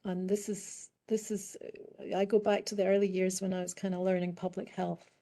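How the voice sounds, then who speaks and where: A middle-aged woman with a British accent, speaking as a guest expert in a panel discussion, answering in a thoughtful, halting way with frequent restarts. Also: medium pitch, monotone, warm tone. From United Kingdom. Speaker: guest expert in public health.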